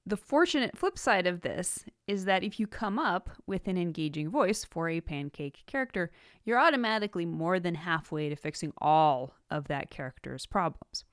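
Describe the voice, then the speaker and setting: A woman with a slightly low voice presents an instructional podcast from a script. She phrases things deliberately and leans on key words for emphasis. The sound is dry, with very little room in it. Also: lively intonation, clean tone, no echo. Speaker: podcast host, writing teacher.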